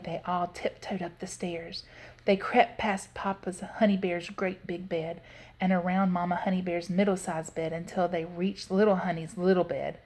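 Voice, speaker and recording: A woman storyteller giving an expressive read-aloud narration of a children's story. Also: lowish voice.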